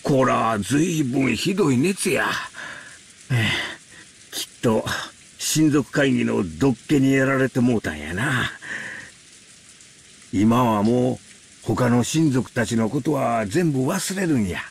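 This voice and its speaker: Gravelly middle-aged Kansai man. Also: medium pitch, animated, moderate pace. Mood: tender concern. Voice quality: gravelly, husky. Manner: soothing, kindly. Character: caring middle-aged husband, gentle and folksy. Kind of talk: Japanese visual novel voice acting.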